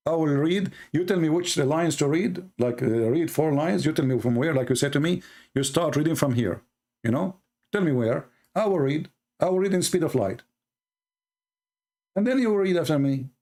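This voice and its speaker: A man's voice: a little high, expressive, normal speed, long pauses, a little mellow. A middle-aged man with an Arabic accent and broken English, hosting a heated, mocking religious debate monologue.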